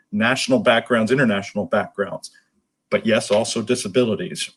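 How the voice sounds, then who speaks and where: A man's voice: on the low side, breathy edge, poor audio. A man speaking as an interview guest, answering conversationally in an earnest, explanatory way. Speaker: interview guest, nonprofit executive.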